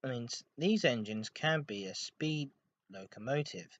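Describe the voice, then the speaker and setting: A man's voice: mid-range voice, lively intonation, normal speed, fairly bright tone. A man hosting a hobby podcast, narrating history in an informal, scripted way. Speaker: podcast host. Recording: dull lo-fi home recording.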